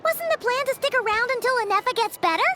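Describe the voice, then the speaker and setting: A woman's voice: extremely high pitch, lively intonation, quick pace, noisy background. A woman voicing a small, perky, curious fairy-like girl companion in a video game, chirpy and inquisitive. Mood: concerned, surprised. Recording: music behind the voice.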